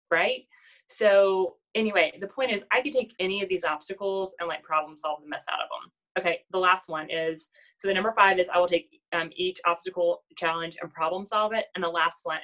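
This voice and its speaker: Chatty nasal woman, low voice. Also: fairly bright tone, poor audio. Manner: chatty, lots of "like" and "does that make sense?". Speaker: network marketing team leader. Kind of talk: casual motivational talk.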